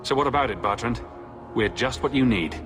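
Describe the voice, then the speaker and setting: An actor playing a confident, composed male adventurer in a video game, measured and diplomatic with a dry edge. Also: mid-range voice, animated, natural voice. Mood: persuasive. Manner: measured, diplomatic, dryly probing. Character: composed, confident human adventurer, the heroic lead. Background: game music.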